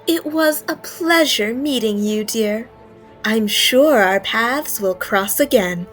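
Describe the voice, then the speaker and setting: Mysterious female curio seller, played by a woman: high, slow, courteous and knowing, audio drama. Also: lively intonation. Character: mysterious, courteous woman stallholder showing off rare treasures. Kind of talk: fan audio drama acting. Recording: music underneath.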